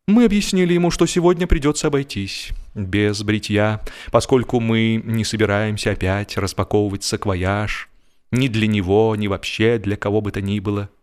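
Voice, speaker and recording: A man reading a humorous literary story as an expressive narrator, with a theatrical, mock-solemn delivery. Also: fairly low pitch, moderate pace. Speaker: audiobook narrator.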